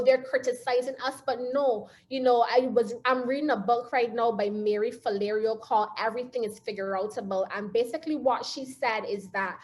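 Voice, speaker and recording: A woman with a Barbadian accent giving an earnest, animated motivational talk over a video call. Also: fairly high pitch. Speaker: guest speaker. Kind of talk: webinar talk.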